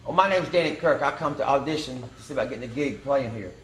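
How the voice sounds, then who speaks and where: A man's voice: mid-range voice, fast, fairly bright tone, echoey room, a little hiss or hum, low-quality recording. A man, a pianist and singer, talking in a folksy, joking way on a reality show. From Georgia, US.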